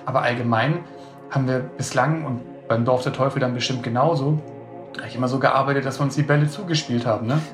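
A man's voice: mid-range voice, steady tone, fast, over music. Chatty man in a roomy space. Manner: chatty, rambling, restarts. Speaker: author. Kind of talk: casual interview talk.

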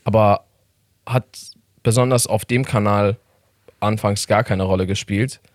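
Guy with a light iranian accent, kinda low voice, lots of umms, laughs a lot, dry close sound. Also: fairly even intonation, measured pace, no echo. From Iran. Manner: hesitant, many fillers, laughs often. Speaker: guest, filmmaker and YouTuber. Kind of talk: casual interview chat.

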